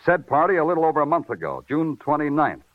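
An actor playing a weary, wry, hard-boiled police detective in 1940s radio noir style, clipped and sardonic. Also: male voice, a little high, very animated, fast talker, narrow, tinny audio. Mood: tough, pressing. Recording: band-limited vintage radio recording.

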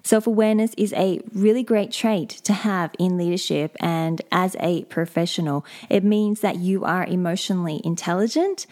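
A woman, a leadership coach, talking alone on her podcast, explaining things in a steady, measured way. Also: on the low side. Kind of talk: solo podcast monologue.

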